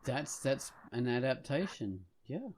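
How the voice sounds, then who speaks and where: A man's voice: medium pitch, big pitch swings, measured pace, darkish tone, slightly rough. A man co-hosting a podcast in a casual, conversational discussion. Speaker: podcast co-host.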